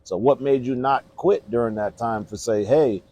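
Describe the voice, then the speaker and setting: A man from Jamaica hosting a casual interview, asking questions and backing up his guest with short quick replies. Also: medium pitch, warm tone. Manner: talks fast, asks questions, affirms with short replies. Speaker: interview host.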